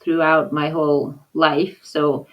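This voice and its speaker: Woman, low-pitched, raised in Papua New Guinea, podcast guest, hesitant, reflective. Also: slow, lo-fi sound. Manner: thoughtful, with frequent hesitations and restarts; lively when acting out conversations. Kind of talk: casual interview talk.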